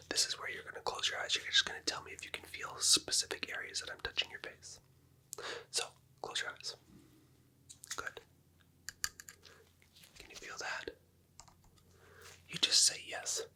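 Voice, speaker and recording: A person whispering gentle, patient clinical instructions in a close, quiet ASMR medical roleplay as a doctor. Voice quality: whispered, mostly unvoiced. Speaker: ASMR creator playing a doctor.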